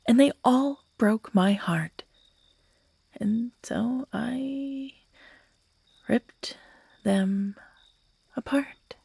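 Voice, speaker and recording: Breathy, unhinged yandere girlfriend, acted by a woman. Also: fairly high pitch, a little mellow, no echo, full character voice. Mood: menacing, gleeful. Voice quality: breathy, often dropping into whispers. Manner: slow, halting, cooing, broken by giggles and sighs. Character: obsessive, unhinged yandere woman, tender then menacing to her captive. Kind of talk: intimate ASMR audio roleplay acting.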